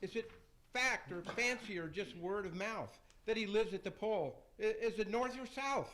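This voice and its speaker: A man's voice: high voice, moderate pace, fairly bright tone, slight background noise. A man playing a fussy, fretful fiend in a theatrical radio play, stammering his rhymed lines. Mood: fretful, flustered.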